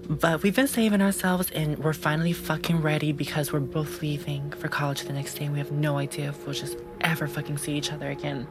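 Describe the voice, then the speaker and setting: An actress playing a bold young woman who describes a fantasy in a breathy, unfiltered, swearing way, in an audio drama. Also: deep voice. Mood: dreamy.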